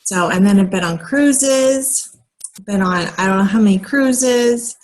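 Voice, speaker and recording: An American woman in her late thirties talking casually and haltingly in a personal vlog, often pausing mid-sentence and rambling along. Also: medium pitch, rough recording. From United States, moved often as a military child. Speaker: vlogger.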